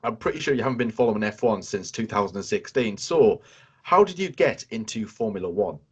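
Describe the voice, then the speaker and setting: A man's voice: on the low side, animated, fairly quick, breathy edge. A man in his twenties hosting a casual interview, chatty and repeating himself with lots of filler words. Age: 20s. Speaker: show host interviewing a guest.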